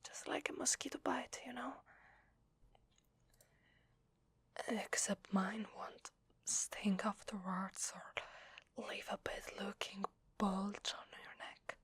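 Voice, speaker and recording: A woman with an Italian accent voicing a shy, needy, grateful vampire lady in hesitant, breathy whispered ASMR roleplay, close to the microphone. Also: mid-range voice, exaggerated voice. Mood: coaxing, soothing. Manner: hesitant, soft, halting. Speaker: ASMR voice actor. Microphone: close microphone, dry.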